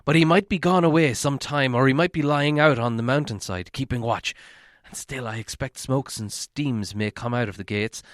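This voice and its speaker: A man's voice: medium pitch, moderate pace, hardly any pauses, fairly bright tone, no room sound, clean studio sound, close to an everyday voice. A man voicing a gloomy, cautious old male dwarf in a storybook reading. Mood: gloomy, wary.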